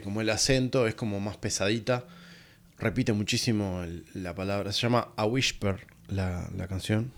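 A man hosting a solo podcast, casually reviewing an album and often pausing to find his words. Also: fairly low pitch. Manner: relaxed and rambling, many pauses to find words.

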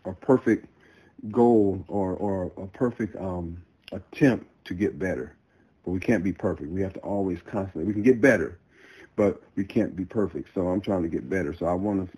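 A man speaking casually as a guest writer, addressing listeners in a halting, earnest way and repeating himself. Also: low voice, warm tone, husky, rough recording. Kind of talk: casual unscripted monologue to listeners.

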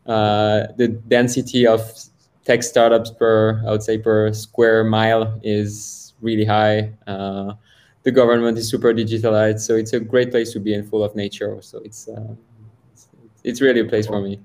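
A man's voice: fairly low pitch, flat delivery, normal speed, darkish tone. Hesitant French-born man in his thirties. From France. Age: 30s. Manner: hesitant, many restarts and fillers. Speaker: guest, software founder. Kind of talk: casual interview talk.

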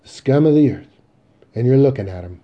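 A man's voice: fairly low pitch, fairly even intonation, moderate pace, lo-fi sound, lightly acted. A man playing a self-loathing, bitter and determined grown son, speaking bluntly and defiantly.

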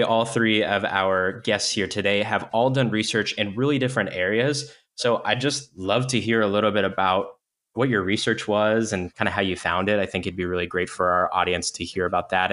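Male podcast host, low voice, steady and explanatory. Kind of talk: hosted university podcast talk.

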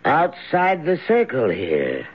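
A man acting a stern, grave master of the occult in an old-time radio drama, speaking deliberately and theatrically. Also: mid-range voice, wildly swooping pitch, breathy edge, full character voice. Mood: grave, accusing. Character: stern male occult master lecturing his wayward disciple. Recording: muffled old radio broadcast recording.

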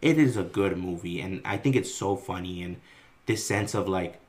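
A man co-hosting a casual podcast, telling animated family stories with plenty of swearing. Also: sits low, moderate pace. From Greek-American family. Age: adult. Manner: animated, profane storytelling, swings between loud and quiet. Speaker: podcast co-host. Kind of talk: casual chat.

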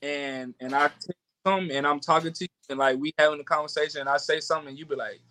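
A man in his twenties, a podcast guest, chatting in relaxed slang with frequent restarts. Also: a little high, fast. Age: 20s. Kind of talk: casual chat.

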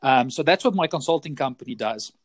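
A man's voice: mid-range voice, animated, brisk, no echo, clean studio sound. A South African man whose first language is Afrikaans, talking as a podcast guest, chatty and often repeating words as he restarts. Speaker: guest, teacher trainer. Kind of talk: casual podcast interview.

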